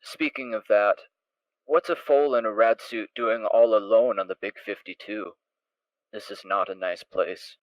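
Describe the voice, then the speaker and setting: A man voicing a laid-back adult male pony trader who talks in a relaxed, easygoing way. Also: on the low side, measured pace, no room sound, lightly acted, poor audio.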